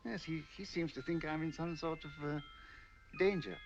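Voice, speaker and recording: Carefree English gentleman collector played by a man, higher-pitched, flirtatious, genteel. Vintage film acting, dull soundtrack. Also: normal speed, faint music behind, lightly acted. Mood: jovial, charming. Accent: British English. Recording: muffled old film soundtrack.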